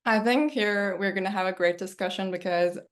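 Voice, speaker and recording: A woman whose first language is Turkish, chatting casually as a guest about learning languages, easygoing and quick to laugh. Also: mid-range voice. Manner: easygoing, laughs often, filler "kinda". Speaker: polyglot guest. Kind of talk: casual conversation.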